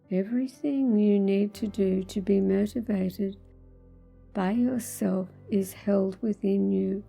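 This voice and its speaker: A woman leading a spiritual guided meditation, speaking in measured, deliberate phrases with pauses in a soothing manner. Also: mid-range voice, vocal fry, warm tone.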